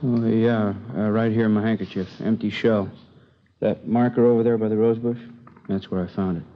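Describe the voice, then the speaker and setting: An actor playing a matter-of-fact police officer in an old radio drama, talking casually. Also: male voice, lowish voice, steady tone, moderate pace, close to an everyday voice. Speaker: juvenile division police officer. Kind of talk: 1950s radio drama acting. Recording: muffled, noisy old radio recording.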